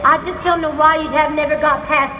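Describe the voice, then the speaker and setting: A woman's voice: sits high, steady tone, brisk, natural voice. A woman playing a dead debutante ghost, giddy, cheeky and theatrical, in improvised comic banter with onlookers. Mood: chatty, mischievous. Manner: theatrical, silly, giggly, playful. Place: outdoors at night at a theme park attraction. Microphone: camera at a distance. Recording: noisy outdoor crowd recording with echo.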